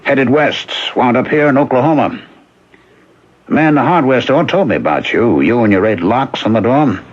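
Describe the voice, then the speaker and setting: An actor in a 1970s radio drama playing an earnest former hobo, insistent and pleading in manner. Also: male voice, mid-range voice, lively intonation, moderate pace, lightly acted. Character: earnest, persistent working man, former hobo. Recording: dull, noisy old radio recording.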